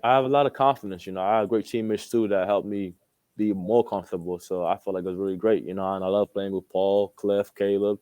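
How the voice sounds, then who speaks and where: A man's voice: on the low side, fairly even intonation, fast talker, darkish tone, no room sound, muffled recording. A young man from Australia, a college athlete being interviewed, talking casually with informal, repetitive phrasing. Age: young adult. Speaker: college basketball player, interview guest.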